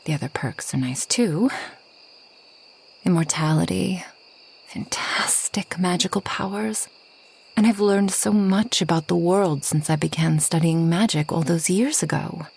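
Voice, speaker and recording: A woman playing a reclusive, ageless forest witch in a soft ASMR roleplay, gentle and wry, often slipping toward a whisper. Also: on the low side, unhurried, creaky, breathy edge, heavily acted, poor audio. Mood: calm, reminiscing. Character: reclusive, centuries-old kind but proud forest witch.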